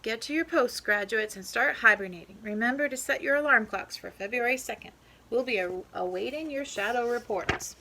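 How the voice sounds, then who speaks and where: A woman's voice: on the high side, a little fast, pushed and bright. Lady doing proud groundhog teacher in a kids book, raised voice, announcing to class, bit noisy. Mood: rallying. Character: proud, encouraging groundhog professor in a storybook.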